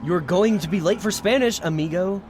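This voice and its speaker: A man's voice: high voice, moderate pace, a little mellow, slight background noise, close to an everyday voice. A man playing a teasing, slangy teenage best friend in a comic dub.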